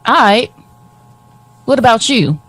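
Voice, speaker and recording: Brash teasing pal, fairly high voice, very lively intonation, slangy, blunt, contemporary audio drama. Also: female voice, slow, full character voice. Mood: teasing, excited. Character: brash, teasing female friend, slangy and warm-hearted. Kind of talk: modern-day audio drama dialogue.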